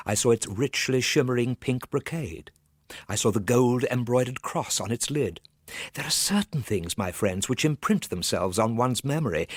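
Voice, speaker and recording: An actor playing a nervous, gloomy Victorian gentleman telling a ghost story in a theatrical radio monologue, full of hushed dread and sudden swells. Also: medium pitch, slightly rough, no echo, clean studio sound.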